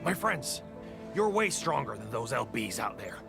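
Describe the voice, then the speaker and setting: A man voice-acting a passionate, principled survivor in a video game, with a gravelly voice over music. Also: mid-range voice, sing-song, moderate pace. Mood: thoughtful, pleading. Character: passionate, principled male survivor rallying his comrades. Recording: music behind the voice.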